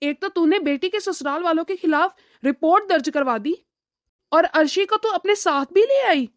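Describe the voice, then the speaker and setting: A woman voicing a weary, moralizing middle-aged father who lectures his family in an animated drama. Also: very high-pitched, normal speed, no echo, studio quality. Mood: reproachful. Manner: admonishing, lecturing.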